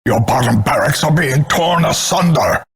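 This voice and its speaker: An actor playing a grim, scornful older male announcer in a video game, grandiloquent and portentous, with a gravelly, husky voice. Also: a little high, animated, moderate pace, exaggerated voice. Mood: urgent warning. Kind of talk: theatrical scripted game announcements.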